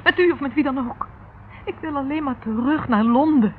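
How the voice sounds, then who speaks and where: A woman's voice: high-pitched, moderate pace, lightly acted. An actress playing a frightened, bewildered young woman who pleads hesitantly in a radio drama. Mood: anxious. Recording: tinny band-limited old radio recording, noisy.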